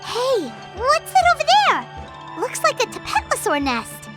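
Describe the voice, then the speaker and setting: Tiny, very high-pitched girlish companion. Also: wildly swooping pitch, a little slow, over loud music, heavily acted. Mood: bright, curious. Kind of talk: video game voice acting.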